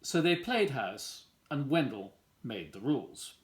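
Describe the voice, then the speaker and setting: A man reads a picture book aloud for young children. His mid-pitched voice moves up and down in a lively, animated way as he narrates. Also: a little slow. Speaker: volunteer story reader.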